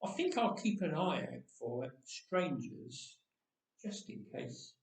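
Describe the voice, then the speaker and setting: Man as young villager back from the dead, chatty, wry understatement, lively intonation, distant mic, echoing church. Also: fairly high pitch, slow, vocal fry, exaggerated voice. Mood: wistful. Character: young man of Bible times raised from death, chatty, wry. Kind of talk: dramatic first-person storytelling monologue. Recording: roomy church echo, distant microphone.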